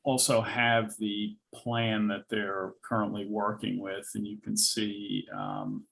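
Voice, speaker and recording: Halting, creaky low-voiced man presenting plans. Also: flat delivery, a bit bright. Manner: hesitant, halting delivery. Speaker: presenter of building plans at a review meeting. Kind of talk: informal presentation with questions and answers. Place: public board meeting.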